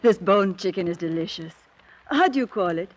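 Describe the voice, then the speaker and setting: Motherly older French aunt: high voice, French accent, gracious, old radio drama. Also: expressive, moderate pace, noisy background. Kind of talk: 1940s radio acting. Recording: vintage 1940s radio recording.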